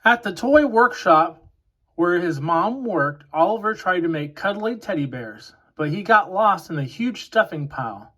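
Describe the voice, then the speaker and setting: A man with a fairly high voice narrates a children's storybook. He phrases each line deliberately, in the style of a read-aloud for young listeners. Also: lively intonation, fairly bright tone. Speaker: children's picture book reader.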